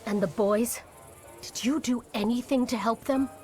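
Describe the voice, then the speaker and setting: A woman's voice: a little high, unhurried, darkish tone, soft background music, lightly acted. A woman acting a bitter, vengeful revolutionary in a story video game, blunt and scornful. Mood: bitter, accusing. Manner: blunt, accusing.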